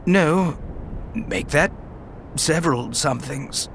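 A man's voice: a little high, slow pace, fairly bright tone, a little hiss or hum, pitched above the performer's own voice, full character voice. A man voicing a badly wounded older male warrior-master who speaks weakly with dry humor. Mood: weak wry. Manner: weak, breathy, wry. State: badly injured, weak.

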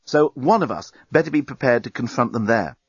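A man's voice: mid-range voice, expressive, a little fast, slightly rough, dry recording, lo-fi sound. A man voicing a brash, impatient male alien journalist, urgent and insistent, in a science fiction audiobook. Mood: impatient explaining.

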